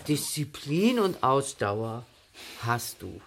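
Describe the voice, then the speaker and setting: Slow-talking, swooping, playful mother. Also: very deep voice, huge pitch swings, heavily acted. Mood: teasing, breezy. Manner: unhurried, colloquial, teasing. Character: unstable, playful, loving mother of a teenage girl. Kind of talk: German radio drama dialogue.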